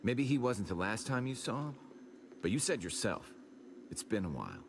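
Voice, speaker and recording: An actor playing a reasonable, probing survivor in a video game drama, level-headed and resigned. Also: male voice, fairly low pitch, very animated, moderate pace, vocal fry, background noise. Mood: thoughtful.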